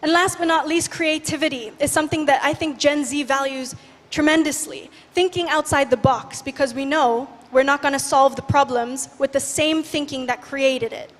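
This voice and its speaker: 21 yr old woman from indonesia, high-pitched and lively, long hall reverb. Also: normal speed. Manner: lively, guides the audience in breathing. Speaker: youth speaker. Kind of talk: energetic stage talk. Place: large conference hall stage.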